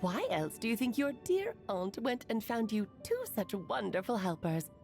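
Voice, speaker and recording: A woman's voice: mid-range voice, big pitch swings, normal speed, darkish tone, soft background music. A woman voicing a playful, theatrical witch aunt who teases fondly and laughs between her lines. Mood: teasing.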